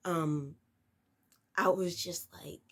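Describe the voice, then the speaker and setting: Woman vlogger with a head cold: nasal and congested, unhurried, meandering chat full of ums. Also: on the low side, steady tone, fairly bright tone. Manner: rambling, with many fillers and restarts. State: stuffy nose from a cold. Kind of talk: casual talk to camera.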